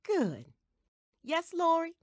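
Nice sunday school teacher in radio play, reassuring, patient, lively intonation. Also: female voice, very high-pitched, takes it slowly, dry recording, clean studio sound, exaggerated voice. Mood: gentle, assured. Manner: patient, instructive. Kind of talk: radio drama acting.

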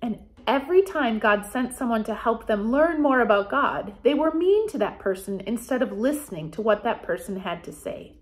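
An American woman in her forties leading a children's Bible storytime as pastor, speaking in simple, deliberate phrases meant for young children. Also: a little high, expressive. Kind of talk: children's storytime, reading and explaining. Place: small office, indoors.

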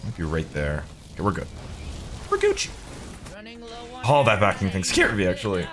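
A man's voice: low voice, huge pitch swings, moderate pace, a bit bright. A young man giving casual, jokey gaming commentary, slangy and sweary, talking to himself and his viewers. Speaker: video game streamer.

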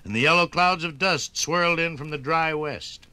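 An American man giving a scripted 1950s radio documentary narration with dramatic, measured phrasing. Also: a little high, loud music behind the voice.

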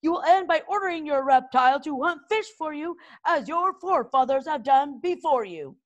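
Nasty Viking drill master, shouty and high, storybook, voiced by a woman. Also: animated, normal speed, dull audio. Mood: bossy. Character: gruff, bad-tempered male Viking trainer.

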